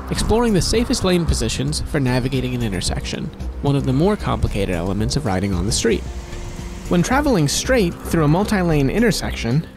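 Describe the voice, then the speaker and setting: Man narrating a lesson over music. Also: medium pitch. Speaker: narrator of a safety video. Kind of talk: scripted instructional narration. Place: voice-over over animation with background music.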